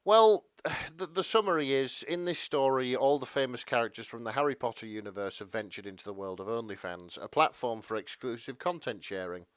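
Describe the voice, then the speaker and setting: A man co-hosting a podcast in casual chat, halting and stammering, mildly apologetic in manner. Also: mid-range voice, a bit bright, clear, resonant tone, no echo. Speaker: podcast co-host.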